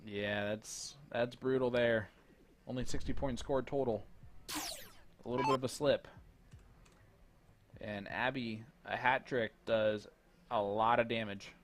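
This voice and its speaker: A man doing relaxed play-by-play commentary on a darts match, chuckling between calls. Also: mid-range voice, a little slow, pauses often. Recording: noticeable background noise.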